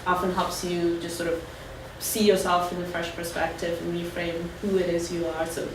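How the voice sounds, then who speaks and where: A woman's voice: lowish voice, flat delivery, normal speed. A woman on a career panel who gives casual, chatty advice with many fillers. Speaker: alumna panelist. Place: lecture room. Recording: echoey room, distant mic, background noise.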